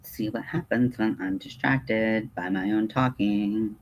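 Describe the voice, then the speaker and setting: A man's voice: fairly low pitch, slow pace, dull audio, a little hiss or hum. A man hosting a casual livestream, rambling in a hesitant, digressive, self-deprecating way. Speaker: livestream host.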